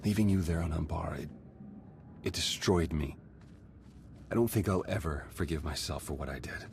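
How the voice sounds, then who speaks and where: Game role: nervous, earnest spy, deep register, hesitant and heartfelt, clearly noisy background. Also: male voice. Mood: pained, remorseful. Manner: halting, sincere. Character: earnest, remorseful male spy, nervous and lovestruck. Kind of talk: video game cutscene acting.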